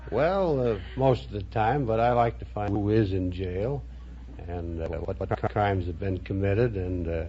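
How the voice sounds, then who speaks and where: An American man with a low voice gives short, matter-of-fact answers as a contestant on an old radio show. The recording is muffled, with faint noise. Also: measured pace, warm tone. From Minnesota, United States. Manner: plain, matter-of-fact, laconic. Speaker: quiz contestant, police chief. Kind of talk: unrehearsed interview answers.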